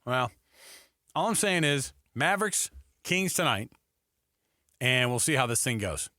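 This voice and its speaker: A male sports radio host chatting casually on air. His voice is forceful and bright, recorded very dry and close. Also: mid-range voice, lively intonation, measured pace, dry recording.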